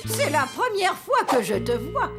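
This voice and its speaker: A woman voicing a cartoon schoolteacher with a dry, formal, drawn-out way of addressing a class. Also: very high voice, sing-song, full character voice. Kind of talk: cartoon voice acting. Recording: music behind the voice.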